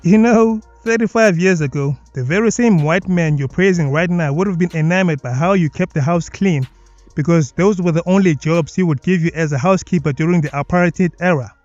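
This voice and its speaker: A South African man picks apart clips in a mocking, sardonic tone. His voice sits a bit high for a man. The recording is dead dry and slightly dull, with faint music under it. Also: a little fast, darkish tone, no echo. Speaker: commentary video host. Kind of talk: sarcastic reaction commentary.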